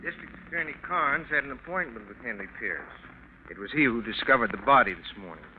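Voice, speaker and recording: A man acts a methodical inspector in old-time radio mystery. He is formal and official in a mid-pitched voice. The recording is narrow, faintly noisy. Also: expressive, quick pace, natural voice. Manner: official, matter-of-fact. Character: formal, methodical police inspector laying out facts. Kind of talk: 1940s radio acting.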